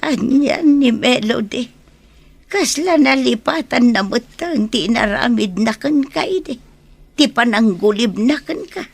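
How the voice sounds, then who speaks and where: A woman's voice: on the high side, expressive, measured pace, creaky, breathy edge, exaggerated voice. An actress with a Filipino Ilocano accent playing a concerned, frank mother counselling others in an audio drama. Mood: tender concern.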